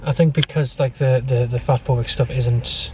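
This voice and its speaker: A man's voice: mid-range voice, steady tone, moderate pace, a little mellow. Slightly distant, muted-sounding man chatting. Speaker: vlogger's partner. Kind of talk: casual chat. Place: inside a car. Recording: slightly distant, faint background noise.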